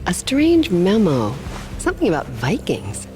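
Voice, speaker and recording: An actress playing an affectionate young wife in dreamlike domestic game dialogue. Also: female voice, on the low side, wildly swooping pitch, measured pace. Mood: tender. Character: affectionate young wife chatting with her husband.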